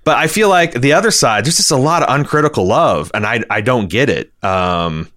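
A middle-aged American man from Indiana co-hosting a podcast, talking casually and candidly in halting, rambling runs with some swearing. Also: medium pitch, animated, fairly bright tone. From Indiana, United States. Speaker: podcast co-host.

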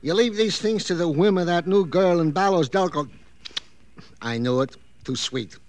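An actor playing a fussy, pompous police sergeant in an old radio crime drama, with stilted, officious phrasing. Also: male voice, sits high, normal speed, close to an everyday voice. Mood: earnest, wounded. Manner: stilted, over-formal, officious.

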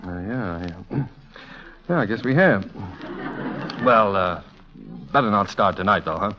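An actor playing an evasive, easygoing bachelor in 1940s radio style, hesitant and noncommittal. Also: male voice, on the low side, expressive, unhurried, slight background noise. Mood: uneasy, evasive. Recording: dull vintage radio recording.

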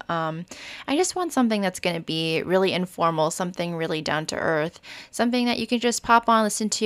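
A woman in her twenties hosting her own podcast, talking in a friendly, informal, chatty way with frequent fillers. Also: medium pitch. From Oklahoma, USA. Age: 20s. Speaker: podcast host. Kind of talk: casual solo podcast chat.

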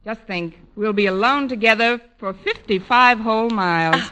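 An American actress playing a wry, lovelorn schoolteacher in an old radio sitcom, delivering dry, sardonic wisecracks. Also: female voice, on the high side, moderate pace, sharp tone. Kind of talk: 1940s-50s radio comedy acting. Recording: noisy old radio broadcast recording. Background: studio audience laughter.

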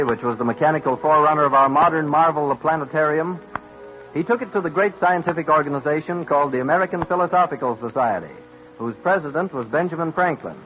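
Male vintage radio narrator, dull recording. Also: on the high side. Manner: formal storytelling. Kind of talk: old-time radio scripted narration.

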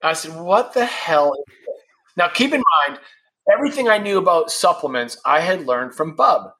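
Bright-voiced blunt man in his fifties. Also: fairly high pitch, expressive, moderate pace, poor audio. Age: 50s. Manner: candid and blunt, swears freely. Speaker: podcast guest, founder of a supplement brand. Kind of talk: casual podcast conversation.

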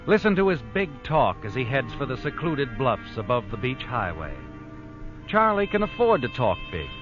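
A man's voice: mid-range voice, sing-song, moderate pace, natural voice. A man playing a knowing, ominous narrator in old radio suspense drama, dramatic and needling in his phrasing. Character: knowing, ominous noir narrator addressing the culprit. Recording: dull old radio broadcast. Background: dramatic music.

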